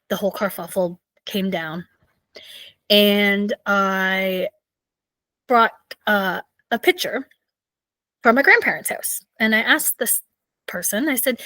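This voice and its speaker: A woman in her thirties with a raspy voice, hosting a podcast and chatting casually with lots of fillers. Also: mid-range voice, a bit bright, dry recording. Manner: chatty, rambling, with many fillers. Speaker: podcast host. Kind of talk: casual solo storytelling.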